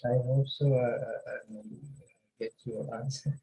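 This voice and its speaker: Hesitant Pakistani man, mellow voice. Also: mid-range voice, steady tone, unhurried, creaky, poor audio. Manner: hesitant, many "uh" and "like". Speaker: guest speaker, international student. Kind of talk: casual panel answer.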